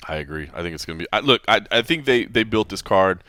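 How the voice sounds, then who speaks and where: A man hosting a podcast, his voice bright and clear and full of lively rises and falls. He talks fast, restarting sentences as he goes. The sound is dry and close. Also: low voice, no echo. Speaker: podcast host. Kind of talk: casual podcast chat.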